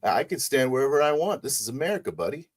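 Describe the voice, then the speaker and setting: A man playing a cocky, nosy biker in a tabletop roleplay, loud, casual and needling with police. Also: mid-range voice, sing-song, quick pace, breathy edge, pitched above the performer's own voice. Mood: defiant.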